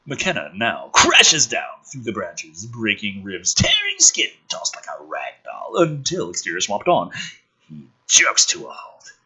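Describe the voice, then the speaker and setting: A man performs a dramatic script reading with sarcastic commentary. His lowish voice is husky yet forceful, and his emphasis is theatrical. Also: wildly swooping pitch, a little slow, rough recording. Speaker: host reading a film script aloud.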